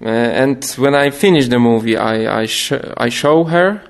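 A young man from Poland with a Polish accent, a documentary filmmaker answering interview questions in halting English, often pausing to search for words. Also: mid-range voice, lively intonation.

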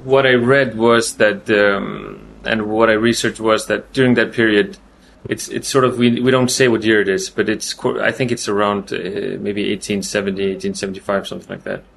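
A man from Iceland with an Icelandic accent, a film director giving festival interview answers in a halting way, searching for words and often restarting. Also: lowish voice, level tone, vocal fry, fairly bright tone, slight background noise.

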